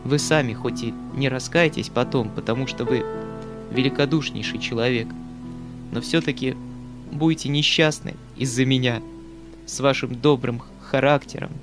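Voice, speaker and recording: A man narrating an audiobook voices a young governess who speaks softly and with resignation, close to tears. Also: mid-range voice, fairly even intonation, normal speed, music in the background, lightly acted. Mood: tearful. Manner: soft, subdued, resigned.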